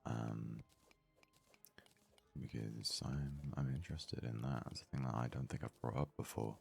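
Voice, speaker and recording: A man with a deep, rough, gravelly voice gives soft-spoken, unhurried ASMR commentary as a gaming host. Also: steady tone. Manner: soft-spoken, low-key, unhurried musing. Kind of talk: ASMR let's play commentary.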